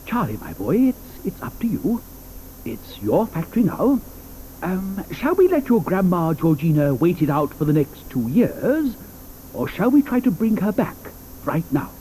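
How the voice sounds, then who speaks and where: An actor playing an eccentric, fussy male chocolate maker in an audio drama, talking theatrically with many hesitant pauses. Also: high voice, big pitch swings, mellow, slight background noise, low-quality recording. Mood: hurried, eager. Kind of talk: 1980s audio drama acting. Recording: studio audio drama with music behind.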